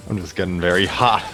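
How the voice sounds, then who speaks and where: A man's voice: sits low, sharp tone. A man chatting casually as one of the voice readers in a live story read-along. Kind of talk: casual chat.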